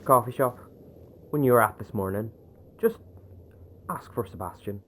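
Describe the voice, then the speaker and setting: A man's voice: mid-range voice, moderate pace, fairly bright tone, close to an everyday voice. A man playing a cryptic, sardonic stranger in an audio drama, terse and teasing. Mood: wry, guarded. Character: cryptic, sardonic male stranger sharing a secret. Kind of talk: scripted audio drama acting. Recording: noisy background ambience.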